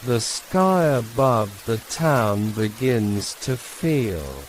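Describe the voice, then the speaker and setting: Very slow, soothing man narrating a bedtime story. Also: mid-range voice, poor audio. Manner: unhurried, hushed, soothing, with long pauses between short phrases. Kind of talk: calm scripted sleep-story narration. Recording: steady rain and hiss behind voice. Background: rain.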